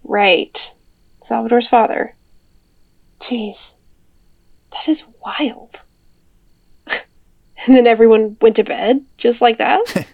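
A woman's voice: a little high, takes it slowly, creaky, long pauses, full character voice. A woman acting as a friendly, teasing mom in an audio drama, talking casually through a phone call filter. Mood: curious, playful. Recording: dull, band-limited phone call sound.

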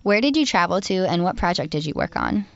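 A woman hosting a podcast, relaxed and chatty, reading introductions and thanking guests. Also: on the low side, fast talker, gravelly. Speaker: podcast host. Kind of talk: casual podcast chat and scripted intros. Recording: dry, dull lo-fi audio.

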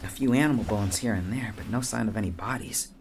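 A man's voice: on the low side, quick pace, noisy background, lightly acted. A man playing a sardonic, roguish young adventurer with an offhand manner in an audio drama. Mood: grim, quiet. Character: wry, roguish young male lockpicking adventurer.

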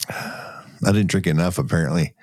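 Congested deep-voiced man podcasting. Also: measured pace, a little mellow, no room sound, studio quality. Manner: loose and profane, laughs often. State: congested, coughing. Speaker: podcast co-host. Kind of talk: casual chat.

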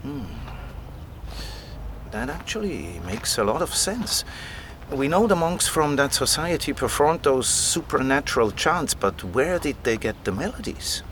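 A man's voice: medium pitch, very animated, a little slow, background noise. An actor playing a keen, patient male scholar who explains things like a storyteller in an audio drama. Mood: keen, explaining. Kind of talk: conversational audio drama dialogue.

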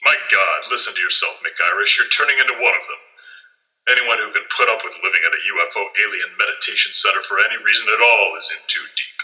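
An actor playing a crotchety conservative magazine boss, a gruff, pompous man given to ranting in an audio play. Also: fairly low pitch, fast talker, sharp tone, poor audio. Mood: gruff, indignant.

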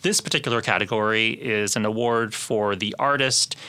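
A man's voice: lowish voice, moderate pace, sharp tone, clean tone. A man co-hosting a podcast in a casual, explanatory way, often hedging as he talks. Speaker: podcast co-host.

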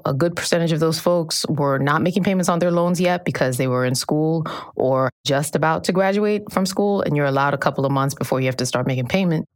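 Low-voiced woman reporter explaining. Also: dry recording, studio quality. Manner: clear, measured, explanatory. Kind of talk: explaining in a news podcast interview.

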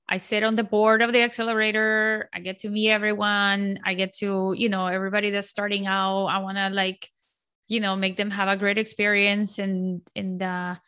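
A woman's voice: mid-range voice, a bit bright, clean tone. A woman from Colombia in her forties, a guest talking in an outgoing, chatty way with many fillers and restarts. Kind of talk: casual interview chat.

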